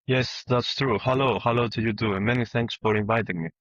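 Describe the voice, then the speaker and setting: A man from Greece, a historian guest speaking relaxed and conversational over a video call. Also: mid-range voice, brisk, no echo, dull audio. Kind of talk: remote interview.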